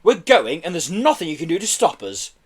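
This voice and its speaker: A man voicing a brisk, decisive, protective mother in a children's story. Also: on the high side, sing-song, breathy edge, pitched above the performer's own voice. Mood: determined. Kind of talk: children's story read aloud.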